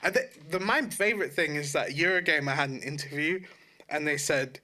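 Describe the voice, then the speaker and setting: Bright-voiced man chatting casually. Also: a little high, fairly quick. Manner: informal, sweary. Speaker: podcast co-host. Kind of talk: casual chat about video games.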